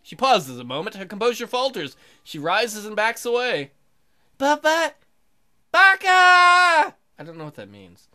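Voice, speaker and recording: A woman's voice: medium pitch, very animated, brisk, fairly bright tone. A woman hosting a let's play, reading aloud and commenting casually in a playful, sarcastic way.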